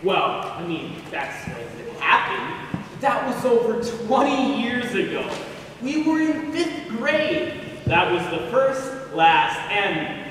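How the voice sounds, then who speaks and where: A man's voice: sits high, big pitch swings, takes it slowly, heavily acted. Young man acting flippant defensive husband, stage play, sarcastic, noisy distant hall recording. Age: teen or young adult. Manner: sarcastic, dismissive. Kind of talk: high school one-act stage play. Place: large indoor hall, stage performance.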